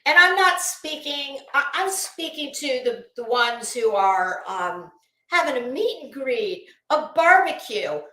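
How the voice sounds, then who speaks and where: A woman's voice: high-pitched, sing-song, measured pace, bright, forceful tone. A woman hosting a casual livestream, talking to her viewers in a blunt, sarcastic, scornful way and swearing freely, in a roomy, echoing recording. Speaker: livestream host.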